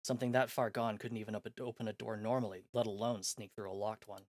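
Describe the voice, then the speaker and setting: A man streaming a visual novel, reading its text aloud and chatting between scenes in an animated, self-mocking, jokey way. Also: on the low side, dry recording. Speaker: game streamer. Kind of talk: live-stream chat, reading a game aloud.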